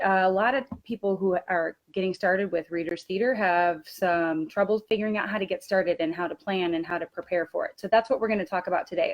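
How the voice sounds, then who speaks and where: A woman with a fairly low-pitched voice leads an informal training webinar for teachers. She explains practical steps in an instructional, somewhat halting way.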